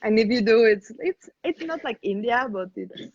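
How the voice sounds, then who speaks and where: A woman from Mexico with a Mexican accent, a guest in a casual conversation, pausing to search for her words. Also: fairly high pitch, expressive, a little mellow, no room sound, lo-fi sound. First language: Spanish. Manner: thoughtful, searching for words with fillers.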